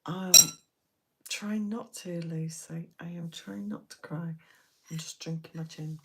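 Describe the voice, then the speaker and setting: This is a woman chatting to viewers on a late-night live stream. Her voice sits low and gravelly, and she talks in a husky half-whisper. She takes her time between thoughts. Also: fairly even intonation, a little slow, very noisy.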